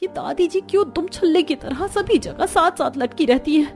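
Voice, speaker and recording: A woman voicing a spirited young daughter-in-law, expressive and pleading, in a cartoon story. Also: extremely high pitch, moderate pace, faint music behind, pitched above the performer's own voice. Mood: embarrassed, complaining. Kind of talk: Hindi cartoon story voice acting.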